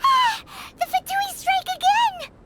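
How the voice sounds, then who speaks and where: A woman's voice: very high-pitched, slow pace, very noisy. A woman voicing a tiny, cheeky, childlike fairy companion in a video game, perky and cheerful. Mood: alarmed, feisty. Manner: speaks of self in third person, perky.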